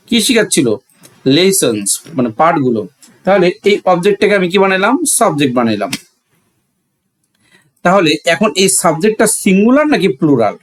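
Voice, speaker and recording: A man with a Bangladeshi accent teaching step by step in a didactic way, repeating key words and posing questions that he answers himself. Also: a little high. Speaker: English grammar teacher. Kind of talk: step-by-step classroom lesson.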